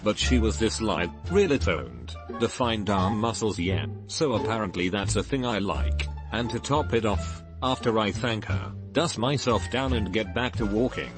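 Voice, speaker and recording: A man reading out online forum posts as a scripted narrator, in an even, synthetic-sounding way. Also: lowish voice, normal speed, hardly any pauses. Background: music.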